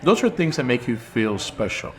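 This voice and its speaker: A man hosting a food show, chatting casually and warmly with his guest in an interview. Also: mid-range voice, sing-song, faint music behind. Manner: friendly, chatty, quick asides. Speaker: show host.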